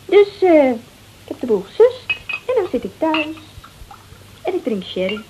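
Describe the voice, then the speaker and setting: Casting: a brash, rattled wife in a radio play, high-pitched and very swoopy, hesitant and coarse-tongued. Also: female voice, very animated, unhurried, background noise. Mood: chatty, confiding. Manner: hesitant, colloquial, peppered with little tags. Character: brash, rattled married woman confessing under threat, coarse-tongued. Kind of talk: Dutch radio play dialogue.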